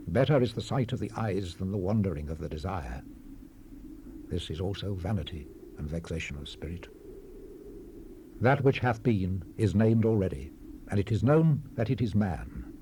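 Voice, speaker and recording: An actor playing a solemn Old Testament preacher, reading scripture in a measured, oratorical manner. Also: male voice, fairly low pitch, warm tone. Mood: grave, brooding. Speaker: Bible narrator, voice actor. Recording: hissy unprocessed 1970s cassette rip.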